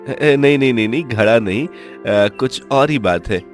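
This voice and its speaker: A man voicing a humble, lovestruck young village blacksmith, earnest and halting, in a Hindi cartoon story. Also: mid-range voice, faint music behind, natural voice. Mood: shy, nervous.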